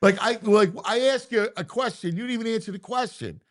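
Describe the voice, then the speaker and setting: A man's voice: high voice, brisk. A middle-aged man hosting a casual sports talk show, talking with lots of stammering restarts and playful bickering. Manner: animated, stammering restarts, bantering.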